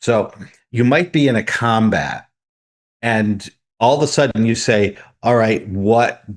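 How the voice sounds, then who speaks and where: A man's voice: on the low side, lively intonation, unhurried. A man co-hosting a tabletop gaming podcast, explaining game-running tips and reading out news items. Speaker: podcast co-host. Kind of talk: casual podcast discussion.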